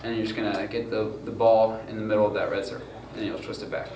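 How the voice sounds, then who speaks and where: A young man walks through a gear setup in a relaxed, filler-heavy way. He is picked up from a distance in an echoey room. Steady background noise sits under his voice. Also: fairly low pitch, fast talker. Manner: loose and informal, many fillers and "gonna go ahead". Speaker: student crew tutorial presenter. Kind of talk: casual how-to demonstration. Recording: roomy, far from mic, noisy.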